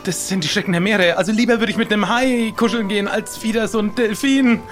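A high-pitched man acting as a pirate captain for an audio adventure. He is chatty and excitable, easily flustered, and repeats his words. Music plays softly behind him. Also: fast. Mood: panicked, agitated. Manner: talkative, excitable, hesitant repetitions. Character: chatty, skittish pirate ship driver and chili lover. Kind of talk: improvised audio adventure play.

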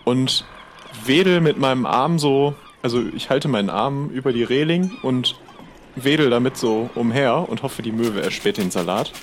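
A man's voice: medium pitch, lively intonation, normal speed, slight background noise. A man role-playing casually in an improvised adventure game, tentatively thinking aloud as a player.

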